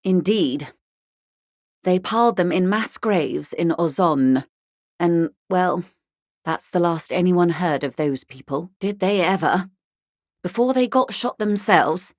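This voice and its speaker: A genteel, worldly negotiator speaks in a slightly low female voice with cool, ironic detachment. Her delivery is measured and precisely worded, as scripted game dialogue. The sound is dry, with no room around it. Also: animated, no echo. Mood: wry, detached. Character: worldly, wry corporate negotiator woman, coolly detached and genteel.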